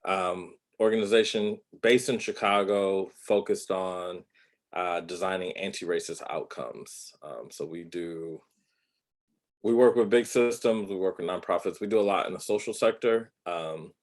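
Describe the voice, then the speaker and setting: A man with a very deep voice introduces himself on a video call. He is friendly and upbeat, and his sentences are loosely strung together with ums. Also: measured pace. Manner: friendly, upbeat, filler-heavy. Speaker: design professor and founder. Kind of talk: casual panel conversation.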